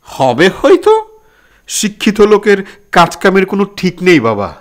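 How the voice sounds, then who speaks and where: An actor playing a grumbling, rustic old village uncle in an audio drama, speaking colloquially with a drawl. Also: male voice, sits high, huge pitch swings, measured pace, exaggerated voice. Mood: puzzled, grumbling. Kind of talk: Bengali audio drama acting.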